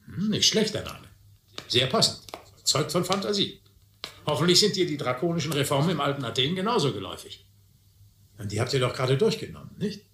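An actor playing a wry, educated guardian in a radio play, articulate, ironic and mock-stern. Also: male voice, on the high side, very animated, a little fast, long pauses, bright, forceful tone, full character voice. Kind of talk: German radio play dialogue. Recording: echoey, slightly noisy audio drama recording.

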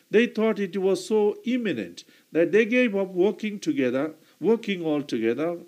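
A man with a high-pitched voice preaches a homily. His delivery is slow and deliberate. He clears his throat now and then and corrects himself mid-sentence. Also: a little mellow.

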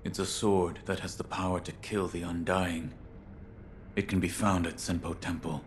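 An actor playing a stoic, loyal shinobi warrior in a video game, speaking in terse, grave, restrained phrases. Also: male voice, low voice, little pitch movement, normal speed, slight background noise, lightly acted. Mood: respectful, grave.

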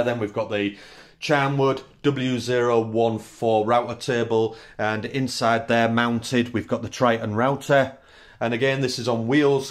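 A relaxed British man in his thirties walks through his small woodworking shop, listing tools in short, easy phrases. His voice sits at an ordinary middle pitch. It is picked up by the camera's own mic in a small indoor room. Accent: British English. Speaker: woodworking channel host. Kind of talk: casual workshop tour.

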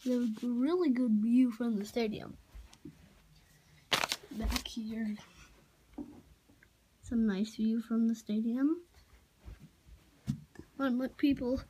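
A child giving a casual, halting show-and-tell narration while filming on a handheld phone in a room at home. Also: medium pitch, steady tone, mellow. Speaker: amateur toy video maker. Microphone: handheld phone behind the camera. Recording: noisy handheld home recording with clicks.

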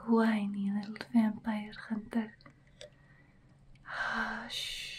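A woman's voice: fairly high pitch, a little mellow, heavily acted. A woman playing an imperious, hungry, centuries-old vampire bride in a whispered, ASMR roleplay, close to the microphone. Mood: commanding, gloating. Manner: breathy whispering, drawn-out theatrical delivery.

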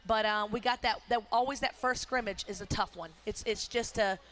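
A middle-aged woman, a basketball coach, talking in a postgame interview on a headset mic at courtside, energetic and emphatic with many fillers. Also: fairly high pitch, fairly quick. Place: courtside in an indoor arena.

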